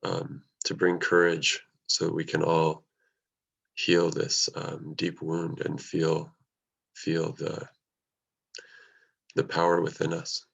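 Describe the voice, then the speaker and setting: Super deep gravelly guy, slow and flat, muffled call audio. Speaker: ceremony presenter. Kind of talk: halting self-introduction.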